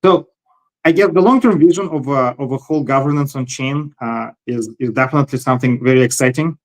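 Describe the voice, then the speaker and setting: A man's voice: medium pitch, a little fast, low-quality recording. A man hosting a casual technical discussion, thinking aloud tentatively and repeating words as he goes. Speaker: host.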